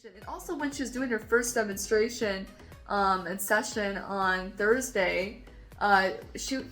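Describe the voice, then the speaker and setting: A woman's voice: mid-range voice, measured pace, a bit bright, soft background music. A woman vlogger and composer chatting enthusiastically, rambling with lots of "like" and "um". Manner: enthusiastic, rambling with many fillers. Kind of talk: casual chat.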